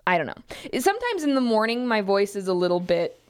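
A woman chats casually as a podcast co-host, telling a workplace anecdote. Her pitch is very lively and she acts out the dialogue as she goes. Her delivery is animated and loosely phrased. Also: fairly quick, clear, resonant tone.

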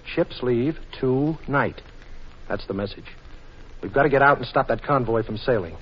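An actor playing a wisecracking, cool-headed adventurer hero in a 1940s radio drama. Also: male voice, mid-range voice, normal speed, husky, natural voice. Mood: intent, deducing. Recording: muffled, hissy 1940s radio recording.

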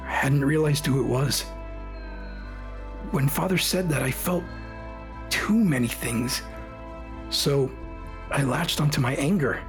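A man acting in an audio drama as a grown son recounting a childhood memory in a measured, emotional way. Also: medium pitch, music in the background, lightly acted. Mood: reflective.

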